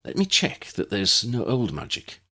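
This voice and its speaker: A man's voice: on the low side, huge pitch swings, normal speed, sharp tone, slightly rough, heavily acted. Man as a proud, sardonic young wizard. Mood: wary.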